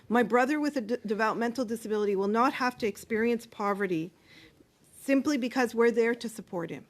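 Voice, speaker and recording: A woman giving earnest, impassioned testimony as a witness at a committee hearing, with a few hesitations, speaking into a desk microphone. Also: fairly high pitch. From Canada. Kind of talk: formal testimony.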